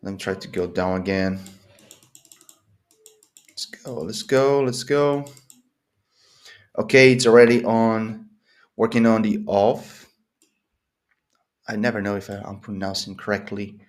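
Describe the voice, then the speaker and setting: A man's voice: on the low side, fairly even intonation, a little slow, pauses often. A man in his thirties, not a native English speaker, rambling through a casual coding session with lots of fillers and restarts, at a desk in a home office. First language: Portuguese or Spanish speaker; English not first language. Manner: rambling, thinking aloud with many fillers and restarts. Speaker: coding vlogger. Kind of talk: casual live screen-recorded coding commentary.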